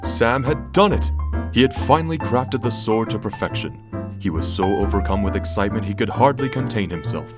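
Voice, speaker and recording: An actor playing a droll, tongue-in-cheek storyteller, narrating a comic audio play in a dramatic style. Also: male voice, lowish voice, expressive, a little fast, over loud music, natural voice. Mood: grand, dramatic. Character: droll, mock-epic male storyteller.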